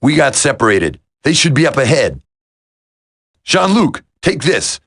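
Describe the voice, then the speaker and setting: A man's voice: mid-range voice, very animated, unhurried, vocal fry, fairly bright tone, breathy edge, exaggerated voice. A man voicing a friendly, practical young soldier teammate in a video game. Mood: calm, practical.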